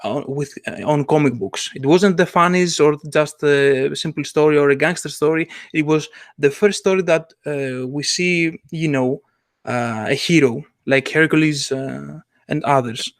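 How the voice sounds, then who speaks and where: A man co-hosting a casual sci-fi podcast, speaking haltingly with many fillers and clear enthusiasm. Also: fairly high pitch, no echo, clean studio sound. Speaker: podcast co-host, comic artist. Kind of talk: casual chat.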